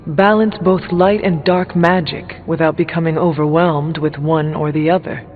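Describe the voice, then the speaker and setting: An actor playing a solemn, reserved warrior in a video game, speaking formally. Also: male voice, fairly high pitch, fairly even intonation, moderate pace, lightly acted. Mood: guarded, grave. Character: solemn, reserved male demon-hunting warrior. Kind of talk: video game character dialogue. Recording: echoing hall, phone-like audio, faint music.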